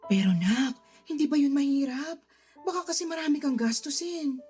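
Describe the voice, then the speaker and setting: A woman playing an affectionate middle-aged mother, expressive and motherly, in a radio drama. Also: sits high, normal speed, faint music behind, close to an everyday voice. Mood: motherly, concerned. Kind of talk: Tagalog radio drama acting.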